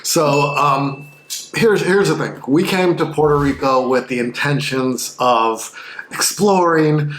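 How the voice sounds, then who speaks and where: A man's voice: on the high side, fairly bright tone, roomy sound, a little hiss or hum, rough recording. A middle-aged man co-hosting a travel vlog, talking in an earnest, reflective way in casual chat. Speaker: vlog co-host.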